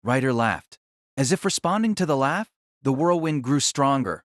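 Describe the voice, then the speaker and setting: A man's voice: mid-range voice, lively intonation, moderate pace, fairly bright tone, no echo, clean studio sound. A man narrating a fantasy story in a dramatic, theatrical, scripted style.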